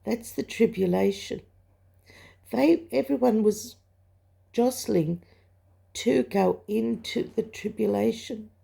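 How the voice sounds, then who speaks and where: An older woman shares a personal testimony in a croaky voice full of heavy fry. Her delivery is slow and halting, with reflective pauses. The tone of the voice is soft-edged and mellow, at an ordinary pitch for a woman. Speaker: religious vlogger sharing a testimony. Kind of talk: personal spoken testimony, recounting a dream.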